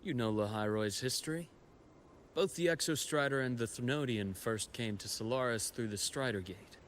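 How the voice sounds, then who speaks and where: An actor playing a composed, knowledgeable expedition guide and scholar in a video game, telling history in a measured, reflective way. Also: male voice, mid-range voice, a little hiss or hum, lightly acted. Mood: earnest. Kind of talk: scripted video game story dialogue.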